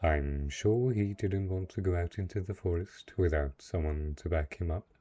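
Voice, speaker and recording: Guy doing a lonely dark knight, real low mellow voice, soft and hesitant, kinda possessive, fantasy roleplay audio. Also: moderate pace. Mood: quietly possessive.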